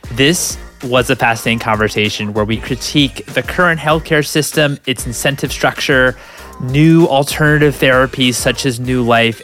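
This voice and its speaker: A man hosts a podcast, reading an upbeat scripted intro over faint music. His voice sits at a middle pitch. He moves into friendly, casual interview questions. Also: fairly bright tone. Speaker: podcast host. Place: Los Angeles.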